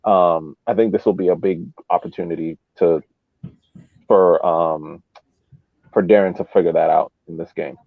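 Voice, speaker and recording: Man, thin phone-like audio, low voice, casual sports commentary, halting, podcast co-host. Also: fairly even intonation, no room sound.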